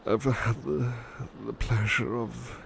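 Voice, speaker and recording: Casting: male actor as tender bedtime butler for ASMR roleplay; slow, hushed, drowsy delivery, gravelly low edge. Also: fairly even intonation, slight background noise. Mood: loving, drowsy. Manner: hushed, sleepy, halting, often slipping into whispers.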